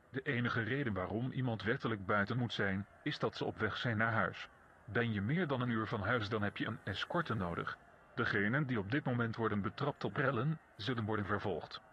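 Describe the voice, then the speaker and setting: A man's voice: mid-range voice, fairly bright tone. A man formally reading a scripted emergency alert as an announcer, in a lo-fi recording. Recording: dull lo-fi audio, faint noise.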